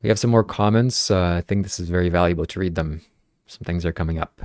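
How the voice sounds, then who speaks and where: A man's voice: deep voice, quick pace, a little mellow, dry recording, studio quality. A man whose first language is Ukrainian, hosting a casual podcast and earnestly reading viewer comments aloud. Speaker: podcast host. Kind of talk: casual livestream podcast chat.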